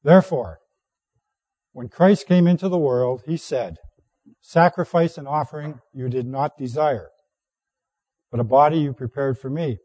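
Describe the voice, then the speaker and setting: Hoarse preacher with a dark voice. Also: male voice, a little high, no echo. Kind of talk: sermon with scripture reading.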